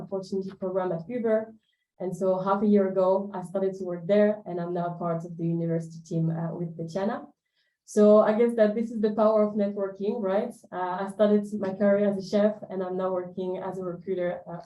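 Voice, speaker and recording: A woman's voice: mid-range voice, warm tone, echoey room, rough recording. A woman from France, a recruiter talking through her career in a webinar, with frequent fillers and restarts. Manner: hesitant, many fillers and restarts. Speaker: guest recruiter. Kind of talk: webinar conversation.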